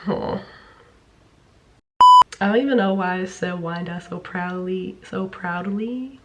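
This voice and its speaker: A woman's voice: on the low side, slow pace, very noisy. A woman in her twenties from Kansas City, Kansas, chatting candidly as a vlogger, a little nasal from a cold, with casual swearing and lots of fillers. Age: 20s. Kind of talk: casual get-to-know-me vlog.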